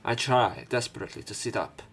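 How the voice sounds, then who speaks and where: A man with a lowish voice narrates a visual novel for a let's play. He reads the story dramatically and drops into casual commentary. Faint game music plays behind him. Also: expressive, a little fast, gravelly, a bit bright, husky.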